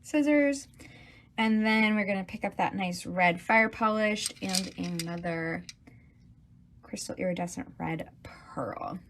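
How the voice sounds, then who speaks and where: A woman's voice: mid-range voice, slow pace, slight background noise. Chatty woman teaching beadwork. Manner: chatty and enthusiastic, with fillers and asides. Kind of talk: casual livestream tutorial.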